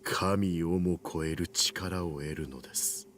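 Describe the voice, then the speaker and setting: An actor playing a suave villain in a Japanese visual novel, coldly polite and menacing, speaking formally and deliberately. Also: male voice, low voice, fairly even intonation, fairly bright tone, slight background noise. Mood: smugly ambitious.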